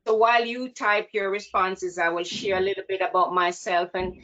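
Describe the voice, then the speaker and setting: A woman with a Jamaican accent giving an earnest, measured webinar presentation as a counselor, heard over a video call. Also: medium pitch, fairly even intonation, a bit bright. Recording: dull lo-fi video call audio.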